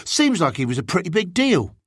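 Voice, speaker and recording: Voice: medium pitch, very animated, fairly quick, husky, no echo, clean studio sound, voice pushed up. A narrator voicing a big, earnest, bookish schoolboy in an audiobook, serious and eager to show what he knows. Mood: curious, impressed.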